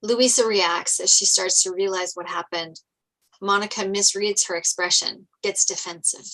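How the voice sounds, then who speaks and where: A woman's voice: medium pitch, steady tone, moderate pace, bright, forceful tone, poor audio. A woman with a mid-range voice delivers scripted narration. She reads out screenplay scene headings and action. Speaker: reader of stage directions.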